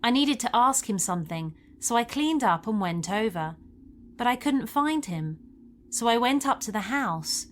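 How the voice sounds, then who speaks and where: A woman's voice: on the high side, expressive, moderate pace, a little hiss or hum. A woman reading a scripted first-person paranormal story as a narrator.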